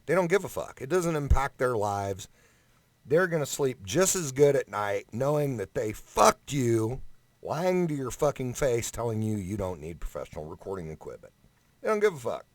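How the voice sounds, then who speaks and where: A man's voice: mid-range voice, very animated, normal speed, dry recording. A man ranting casually in a vlog, blunt, emphatic and sweary, speaking right up close to a condenser microphone. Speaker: amateur singer giving audition advice. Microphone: condenser microphone up close, no preamp.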